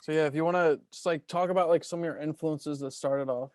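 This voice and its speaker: A woman's voice: deep voice, fairly even intonation, fast, darkish tone, no room sound. A woman interviewer asking casual questions and giving short, encouraging replies.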